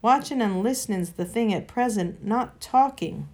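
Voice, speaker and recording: A woman voicing a blunt, gruff cab driver telling someone to hush. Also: a little high, moderate pace, natural voice. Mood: dismissive. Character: gruff working-class London cabby, blunt.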